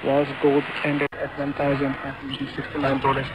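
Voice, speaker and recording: Male financial newsreader, monotone, slightly high, buried in shortwave noise. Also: moderate pace. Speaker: radio financial news presenter. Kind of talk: market report reading. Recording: very noisy shortwave radio reception.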